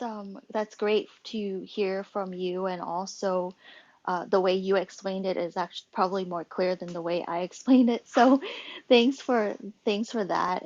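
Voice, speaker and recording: A woman's voice: medium pitch, a little mellow, no room sound, muffled recording. A woman hosting a casual social audio room, talking in a loose, rambling way with many fillers and restarts. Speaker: host and club moderator.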